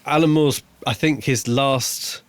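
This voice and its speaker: A man's voice: mid-range voice, fairly even intonation, slow, no echo. A man co-hosting a podcast, talking informally and enthusiastically in a casual book review chat. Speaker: podcast co-host.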